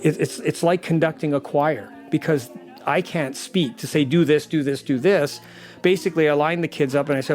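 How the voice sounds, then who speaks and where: Slightly high-voiced man explaining his craft. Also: darkish tone. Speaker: audio drama sound designer. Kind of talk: explanatory interview talk.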